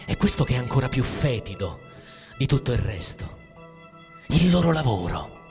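A man's voice: medium pitch, very animated, normal speed. An actor playing a bitter, cynical everyman in a declamatory theatrical monologue with music. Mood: angry, bitter. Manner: declamatory, swinging from muttering to shouting. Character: bitter, ranting, cynical everyman raging at the bosses. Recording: long reverberant hall, music behind.

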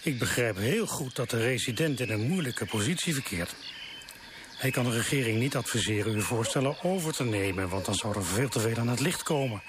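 A man's voice: medium pitch, expressive, brisk, natural voice. Reasoning confidant played by a man, noisy ambience. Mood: reasoning, concerned. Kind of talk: Dutch radio play acting.

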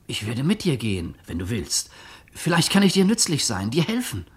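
An actor playing a hesitant, loyal young male friend in a radio drama, soft-spoken and earnest. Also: medium pitch, huge pitch swings, normal speed, breathy edge, exaggerated voice. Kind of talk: 1950s-style radio drama acting.

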